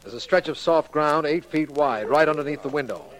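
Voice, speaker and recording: A man's voice: fairly high pitch, a little fast, background noise, natural voice. A man acting a matter-of-fact, deferential district attorney sidekick in a 1940s radio crime drama, American-accented. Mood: businesslike. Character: earnest, formal male district attorney sidekick. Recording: old radio recording, busy background.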